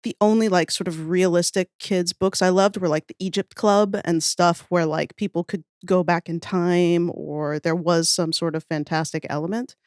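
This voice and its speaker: A woman's voice: fairly low pitch, fairly even intonation, normal speed, few pauses, a little mellow, clear, resonant tone, no room sound, studio quality. A woman chatting casually as a podcast guest, reminiscing in an enthusiastic, rambling, self-deprecating way. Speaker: podcast guest, audio fiction writer.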